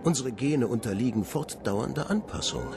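Radio play: articulate researcher reciting a report formally, lively intonation, over very loud music. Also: male voice, medium pitch, normal speed, vocal fry. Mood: solemn, assured. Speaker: narrator in a radio drama. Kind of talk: scripted reading of a scientific report.

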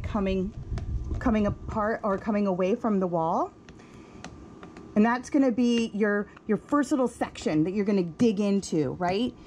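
A chatty woman in her thirties talks through her renovation work inside a cramped RV, her voice sitting a little high. She fills her explanations with ums and easy asides. A faint hum of background noise sits under her voice. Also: darkish tone. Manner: chatty, upbeat, lots of fillers while working. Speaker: RV renovation vlogger. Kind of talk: casual how-to demonstration. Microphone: camera mic, moving about while working.

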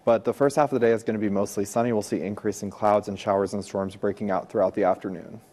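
Quick, low-pitched American male forecaster. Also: steady tone, warm tone. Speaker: TV weather forecaster. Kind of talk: ad-libbed weather forecast.

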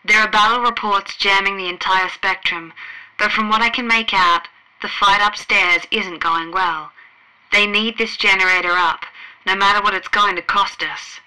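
Woman doing a tense soldier woman, tight voice, bright edge. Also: medium pitch, fairly even intonation, normal speed, rough recording. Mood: strained. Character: strained female soldier, tense.

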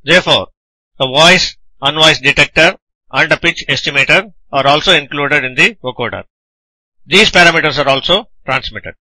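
A man narrating a scripted technical lecture in a deliberate, didactic manner, explaining things in short phrases. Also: fairly high pitch, pushed and bright, no echo, poor audio.

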